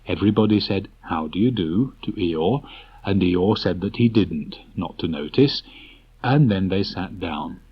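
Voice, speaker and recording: A man's voice: low voice, measured pace, breathy edge. A man narrating a children's story from a script as an audiobook reader. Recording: muffled old cassette tape transfer.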